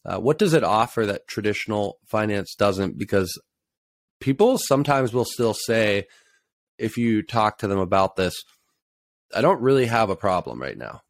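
A man's voice: lowish voice, expressive, normal speed, dry recording. A man hosting an interview, asking thoughtful questions with some hesitation. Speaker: podcast host.

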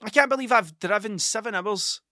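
A man's voice: sits high, moderate pace, pushed and bright, no room sound, clean studio sound. A middle-aged man with an Ayrshire Scottish accent, rambling through a casual storytelling monologue full of swearing and "do you know what I mean". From Ayrshire, Scotland. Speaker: podcast host.

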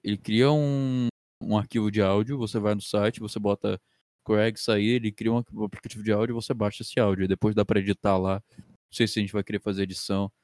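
Low-toned young Brazilian man, dry sound. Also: little pitch movement, fast talker, darkish tone, no room sound. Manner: foul-mouthed, slangy. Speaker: podcast host. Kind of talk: casual chat with friends.

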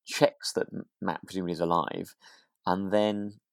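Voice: deep voice, steady tone, moderate pace, gravelly, no echo, studio quality. A podcast co-host in casual chat, recapping a drama and hesitantly piecing the story together aloud.

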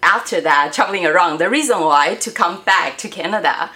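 Soft low-voiced Taiwanese-born woman. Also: lively intonation, brisk. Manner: friendly, hesitant, many fillers. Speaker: guest, robotics education director. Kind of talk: casual interview chat.

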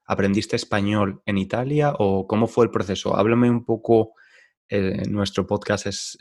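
A man hosting a podcast for learners, speaking in a clear, measured way. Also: fairly low pitch. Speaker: podcast host and Spanish coach.

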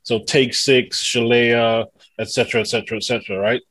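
A man's voice: mid-range voice, level tone, fairly quick, a bit bright, breathy edge. A London-born man, a singer and songwriter, talking enthusiastically as a podcast guest in casual conversation. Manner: enthusiastic and effusive, laughs easily. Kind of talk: casual interview chat.

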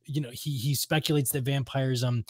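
A man's voice: mid-range voice, fairly even intonation, normal speed, darkish tone, no room sound. Casual male podcast co-host, dry close sound. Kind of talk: casual chat about games and radio plays.

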